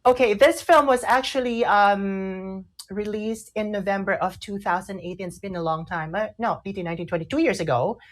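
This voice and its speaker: A woman's voice: mid-range voice, fairly quick, hardly any pauses. A woman with a Filipino accent co-hosting casual online radio chat, often repeating words as she goes. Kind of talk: casual radio chat, mixing English and Tagalog.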